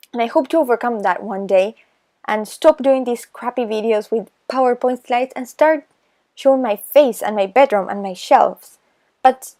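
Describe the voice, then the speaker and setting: Slow, hesitant Argentinian teen girl. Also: on the high side, warm tone. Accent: Argentinian Spanish. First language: Spanish. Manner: slow, hesitant, self-conscious, many pauses. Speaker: booktuber. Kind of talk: casual voice-over vlog.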